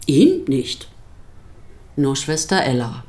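A woman playing a composed pastor's wife in a German radio drama, giving testimony in a dry, measured, ironic way. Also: a bass voice, huge pitch swings, a little mellow, heavily acted. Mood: reflective, wry. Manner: dry, measured, delicately euphemistic. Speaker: actor in a radio play.

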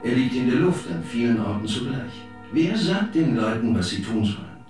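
An actor playing a persuasive veteran professor lecturing in a German radio drama, in a rhetorical, declamatory manner. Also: male voice, medium pitch, animated, moderate pace, lightly acted. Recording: very echoey, distant, music behind.

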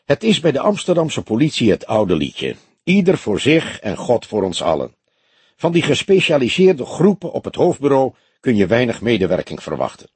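A man's voice: mid-range voice, wildly swooping pitch, normal speed, darkish tone, husky, dry recording. A man voicing a grizzled older police detective in an audiobook, grumbling, scornful and blunt.

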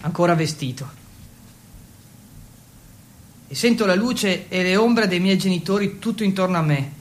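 A man's voice: high voice, expressive, moderate pace, slight background noise. An actor playing a reckless teenage boy, telling his story as a theatrical first-person radio monologue in short, repeated, breathless phrases. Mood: dazed, hungover. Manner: breathless, repetitive, builds intensity in short phrases. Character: reckless teenage exchange boy recounting his wild nights. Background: music.

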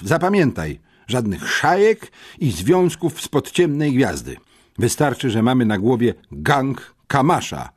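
A man's voice: medium pitch, darkish tone, husky, exaggerated voice. A man voicing a stern, domineering school headmistress in an audiobook, scolding with mocking sarcasm.

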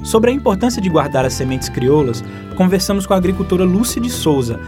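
A man's voice: sits high, big pitch swings, fast talker, music in the background. A man presenting scripted radio narration.

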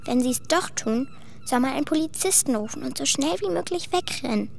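A woman's voice: high voice, animated, brisk, vocal fry, slight background noise. A woman playing an innocent, earnest little girl asking childlike questions in a German radio drama. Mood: earnest warning.

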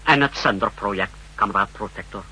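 A man's voice: lowish voice, fairly quick, sharp tone, breathy edge, heavily acted. An actor playing a zealous secret service subordinate, reporting formally and deferentially to his chief in a 1960s radio drama. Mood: dutiful, eager. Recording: faintly noisy 1960s radio recording.

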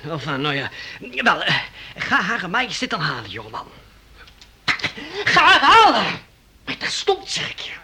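An actor playing a pompous, easily flustered baron in a radio play, spluttering and indignant. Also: male voice, sits high, wildly swooping pitch, normal speed, long pauses, bright, forceful tone, exaggerated voice. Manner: spluttering, haughty, exclamatory. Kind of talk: radio drama acting.

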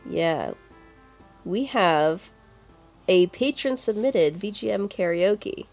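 A woman's voice: medium pitch, animated, slow pace, darkish tone. A woman co-hosting a podcast, chatting casually and informally about video game music. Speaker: podcast co-host.

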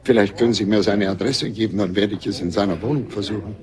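An actor playing a courteous, inquisitive gentleman investigator in a German radio drama, polite and slightly hesitant. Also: lowish voice, fast, close to an everyday voice. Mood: polite, probing.

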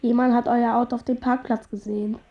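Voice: mid-range voice, flat delivery, fast, highs cut off, lightly acted. A performer in a radio drama playing a sullen, brash ten-year-old boy, terse and defiant. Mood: weary, accusing.

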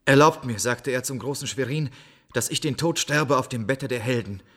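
Husky, solemn soldier messenger. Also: male voice, medium pitch, a bit bright. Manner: grave, measured, declamatory. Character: solemn poor soldier, stranger bearing grim news. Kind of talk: theatrical radio drama storytelling.

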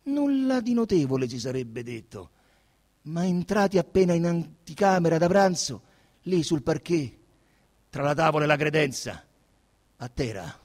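A man narrating a literary text theatrically, with solemn, deliberate, dramatic phrasing. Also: a little high, expressive, darkish tone. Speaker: narrator and actor.

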